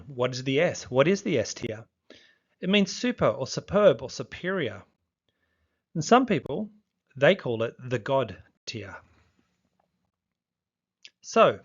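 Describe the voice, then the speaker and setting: Man, 50, younger-sounding voice, mid pitch, chatty rambling vlogger, slightly muffled lo-fi recording. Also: a bit bright. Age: 50s. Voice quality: youthful-sounding voice. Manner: rambling, many fillers and restarts. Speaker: true crime YouTuber. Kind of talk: casual video commentary.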